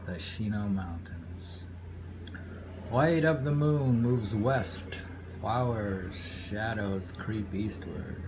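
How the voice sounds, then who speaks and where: A man reading haiku aloud with casual commentary, in an unhurried, musing way with pauses between phrases. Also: on the low side. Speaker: poetry reader and commentator. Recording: clearly noisy home recording with ambience.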